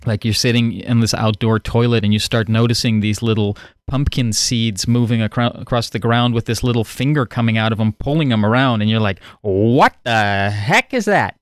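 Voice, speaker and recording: A man's voice: mid-range voice, normal speed, few pauses, no echo, studio quality. A man from the Netherlands whose first language is Dutch, musing playfully in a casual roundtable chat. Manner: playful, musing, laughs often. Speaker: podcast guest.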